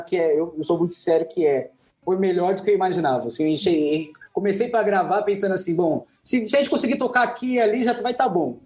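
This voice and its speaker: A man's voice: high-pitched, a little mellow, reverberant. A man with a Brazilian Portuguese accent hosting a podcast, thanking a guest in a rambling, grateful way. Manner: grateful, rambling, restarts often. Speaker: podcast host. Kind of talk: casual podcast chat.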